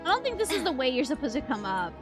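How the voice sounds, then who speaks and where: A woman's voice: sits high, very animated, very fast. A woman game streamer giving casual live reactions and commentary as she plays. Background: game music.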